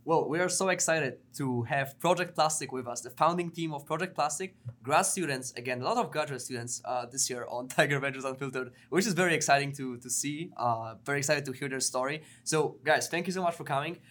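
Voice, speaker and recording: A man's voice: fairly high pitch, fairly quick, few pauses, fairly bright tone. A man hosting a podcast interview, upbeat and enthusiastic, with lots of short affirmations. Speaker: podcast host.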